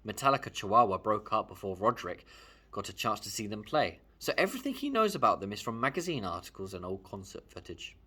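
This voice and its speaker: A man reading a children's book aloud as a storyteller, in an expressive, emphatic way. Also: lowish voice, quick pace, husky. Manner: animated, emphatic. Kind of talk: expressive read-aloud narration, first person.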